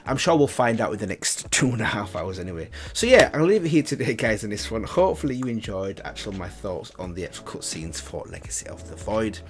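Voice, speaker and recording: Lowish-voiced man chatting casually. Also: sing-song, a little fast, few pauses. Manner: rambling, thinking aloud, repeats phrases. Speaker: reaction video host.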